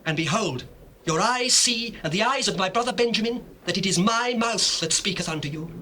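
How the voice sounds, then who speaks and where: A man's voice: sits high, expressive, fairly quick, pushed and bright, a little hiss or hum. An actor playing an emotional governor of Egypt addressing his family in a dramatized scripture on old cassette tape. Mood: fervent. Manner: fervent, declamatory. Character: Joseph, emotional lordly governor of Egypt, tender brother. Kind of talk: dramatized scripture, theatrical stage acting.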